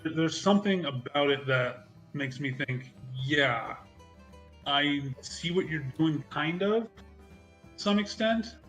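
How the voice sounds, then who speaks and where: Man, higher pitch, rambling, self-correcting, casual game chat. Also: expressive, slow pace, a bit bright, faint music behind, rough recording. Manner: rambling, restarts sentences, laughs through his points. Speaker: tabletop game player. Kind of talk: casual chat during an online game session.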